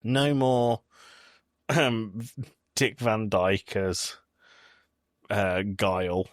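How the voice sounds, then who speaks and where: A man with a fairly low voice talks as a podcast host in a close, dry recording with no room sound. His delivery is casual and blunt, like an informal film review, and he swears freely as he goes. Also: flat delivery, extremely slow, no echo.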